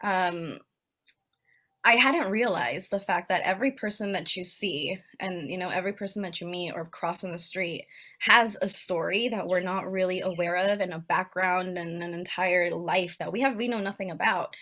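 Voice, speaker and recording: Young woman from Peru, bright and chatty, filler-heavy casual talk, video call. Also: on the low side. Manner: chatty, many "like" fillers, quick laughs. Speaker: author guest. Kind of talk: casual interview chat.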